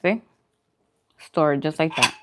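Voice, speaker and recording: A woman's voice: low voice, measured pace. A woman chatting casually and playfully with viewers as the informal host of an eating livestream. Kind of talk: casual chat. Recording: clearly noisy background.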